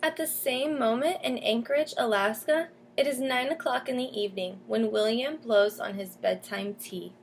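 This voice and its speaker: A woman teacher reading a picture book aloud to children, pausing to explain and ask questions. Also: a little high, gravelly, a little hiss or hum. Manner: explains and asks questions as if to young listeners. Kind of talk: storybook read-aloud with comments to children.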